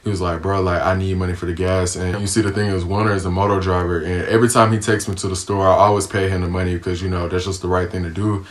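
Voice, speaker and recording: A young man from the United States talks through a casual storytime for his vlog. His voice sits low, and his delivery stays flat and even. He is laid-back and slangy, with plenty of ums along the way. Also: quick pace, hardly any pauses. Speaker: vlogger.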